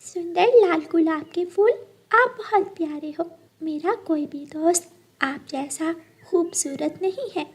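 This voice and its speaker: A woman's voice: very high voice, unhurried. A woman voicing a sweet, caring little girl bird in a children's cartoon, speaking earnestly. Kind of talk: Hindi moral cartoon character acting.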